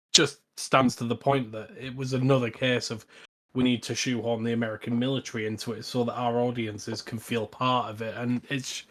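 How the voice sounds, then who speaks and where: A man chatting casually as a podcast panellist, giving opinions in an informal discussion. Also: medium pitch.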